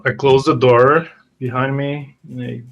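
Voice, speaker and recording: A man's voice: medium pitch, little pitch movement, unhurried, vocal fry, fairly bright tone, highs cut off. A man playing a tabletop fantasy game, describing his character's actions in hesitant, piecemeal phrases. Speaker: tabletop roleplay player.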